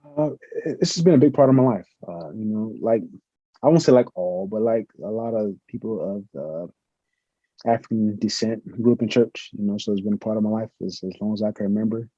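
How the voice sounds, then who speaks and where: Middle-aged man, professor guest; fast, husky, very dark tone, muffled lo-fi sound. Earnest, reflective. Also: fairly low pitch, dry recording. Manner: earnest, reflective, uses many fillers. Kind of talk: conversational interview answers. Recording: muffled lo-fi audio.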